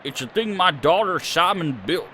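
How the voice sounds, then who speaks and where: A man's voice: fairly high pitch, animated, normal speed, sharp tone, a little hiss or hum, pitched below the performer's own voice. A man voicing an aging, homely male farmer who talks in a rambling country drawl. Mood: folksy.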